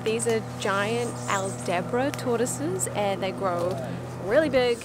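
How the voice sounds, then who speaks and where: A woman's voice: a little high, takes it slowly, over loud music. A woman in her thirties chatting casually as a travel vlogger, speaking to a camera held at arm's length. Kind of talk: casual vlog chat. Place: outdoors, by water and on trail. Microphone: handheld camera at arm's length.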